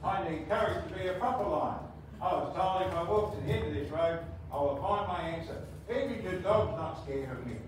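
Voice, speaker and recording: A sad pantomime lion without courage, played by a man. The lines are read plaintively in a fairly high voice. It comes from far away in a noisy, echoing room. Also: lively intonation, fairly quick. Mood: dejected. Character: timid sad male lion lacking courage. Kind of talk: amateur pantomime acting. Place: community room with seated audience, far camera. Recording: distant, echoey, noisy hall recording.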